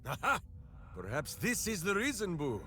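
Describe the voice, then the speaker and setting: An actor playing a big, simple-hearted heroic warrior in a video game, righteous and grandiose, speaking in a theatrical manner. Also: male voice, high voice, wildly swooping pitch, moderate pace, bright, forceful tone, soft background music, heavily acted. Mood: blustering, earnest. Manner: theatrical, grandiose, speaks of himself in third person. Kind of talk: video game character acting.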